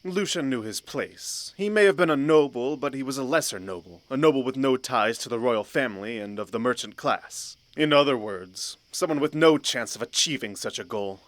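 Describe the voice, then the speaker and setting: A man narrating a scripted fantasy audio drama in a measured, literary storytelling manner. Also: mid-range voice, fairly bright tone, no echo.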